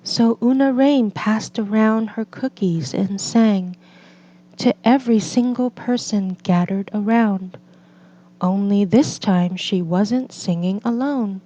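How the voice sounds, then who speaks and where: A woman reading a picture book aloud as a storyteller, in gentle, deliberate phrases with drawn-out pauses. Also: medium pitch. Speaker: read-aloud storyteller for children and English learners. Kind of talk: slow scripted picture-book narration. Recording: slightly dull, lo-fi recording.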